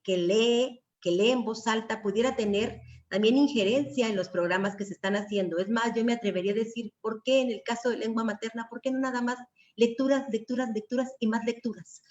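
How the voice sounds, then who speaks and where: A woman's voice: fairly high pitch, fairly quick, darkish tone, slight background noise. A woman with a Mexican accent moderating an online panel discussion. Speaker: secondary school teacher. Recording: dull lo-fi video call audio.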